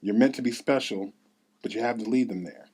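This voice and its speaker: A man's voice: medium pitch, brisk, a little mellow, natural voice. Man reading tender, burdened mother, earnest. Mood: tender, urging. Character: tender, burdened mother urging daughter to lead. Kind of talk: table read of a script.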